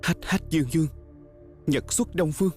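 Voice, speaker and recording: Eager, deferential young male attendant, played by a man, fantasy costume dub, hesitant delivery, higher register, light score underneath. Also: normal speed, darkish tone, faint music behind, close to an everyday voice. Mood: puzzled, determined. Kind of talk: dubbed fantasy costume drama acting.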